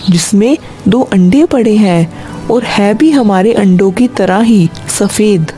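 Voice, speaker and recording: A woman's voice: medium pitch, slow, dark tone, close to an everyday voice. A woman voicing a meek, submissive male crow husband in a cartoon story, questioning and easily bossed. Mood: eager. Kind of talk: Hindi cartoon story dialogue. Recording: faint music behind the voice.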